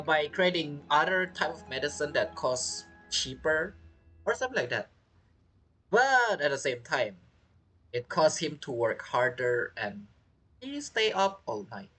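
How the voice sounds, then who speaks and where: Animated man doing casual game commentary. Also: a little high, measured pace, soft background music. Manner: rambling, repeats phrases. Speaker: game streamer.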